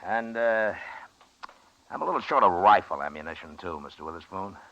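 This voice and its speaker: Laconic western marshal, low and terse, muffled vintage radio drama sound. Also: male voice, expressive, moderate pace, bright, forceful tone, heavily acted. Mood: businesslike. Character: laconic US marshal in old West. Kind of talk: 1950s radio western acting. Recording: dull old radio recording.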